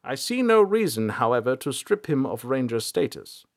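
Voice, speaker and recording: A man voicing a stern, measured elder of a rangers' council, speaking formally and deliberately in a fantasy audiobook. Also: mid-range voice, sing-song. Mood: measured concession. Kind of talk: dramatic fantasy audiobook character.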